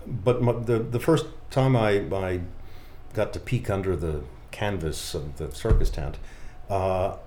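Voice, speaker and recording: Middle-aged male guest, very low voice, halting storyteller, roomy recording. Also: warm tone, slight background noise. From Boston area, United States. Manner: halting, anecdotal storytelling with many restarts. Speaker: guest, magician. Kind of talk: casual podcast conversation.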